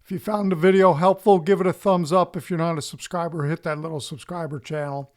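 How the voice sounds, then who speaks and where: Man, slightly high pitch, casual explanatory commentary, folksy, conversational, checks in often, channel host. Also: fairly even intonation, a little fast. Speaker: host of a stock market analysis channel.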